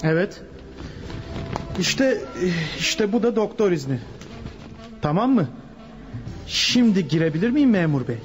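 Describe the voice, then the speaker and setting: An actor playing a firm, insistent gang lawyer in a radio drama, speaking articulately. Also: male voice, high-pitched, sing-song, measured pace, long pauses, faint music behind. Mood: insistent, impatient. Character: assertive male lawyer working for a gang boss. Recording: dull lo-fi radio recording.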